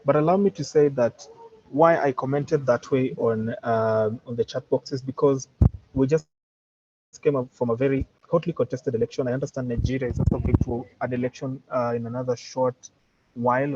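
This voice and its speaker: A man with a Kenyan accent and a slightly husky voice, giving his opinion in an online seminar in deliberate, formal wording with examples and repeated words. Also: medium pitch, fairly even intonation, a little mellow, a little hiss or hum. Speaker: participant commenting in an online training session. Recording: dull, lo-fi online call audio.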